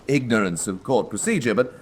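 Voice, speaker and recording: A man plays a persistent military defense counsel in a radio drama. His medium-pitched voice is highly animated, courteous on the surface and pointed underneath, over faint background noise. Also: a little fast, lightly acted. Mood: objecting, indignant. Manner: polite, pointed, argumentative. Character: wry, persistent army major defending at court-martial.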